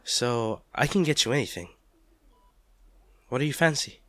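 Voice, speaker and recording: A male actor plays a devoted, protective partner in an intimate audio roleplay. He has a medium-pitched voice with a tender, reassuring, pleading way of talking to an injured loved one. The sound is dry, with no room around it. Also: lively intonation, moderate pace, fairly bright tone, no room sound. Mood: gentle, coaxing.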